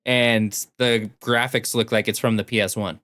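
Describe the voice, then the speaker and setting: A man's voice: lowish voice, fairly even intonation, moderate pace, sharp tone, dry recording, clean studio sound. A man chatting casually about games as a podcast co-host. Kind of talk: casual video game podcast chat.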